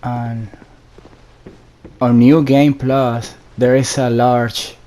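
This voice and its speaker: Flat, mellow-voiced man giving gameplay commentary. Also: medium pitch, drawn-out words. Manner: instructive, addresses viewers directly. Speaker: gaming video host. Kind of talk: casual solo gameplay commentary.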